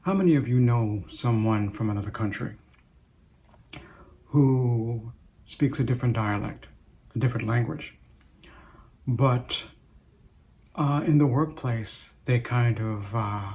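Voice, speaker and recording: A man in his sixties, originally from Trinidad, hosting his own show and speaking in an unhurried, reflective way with many pauses. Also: mid-range voice, a little mellow. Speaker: host. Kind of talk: casual personal talk to camera.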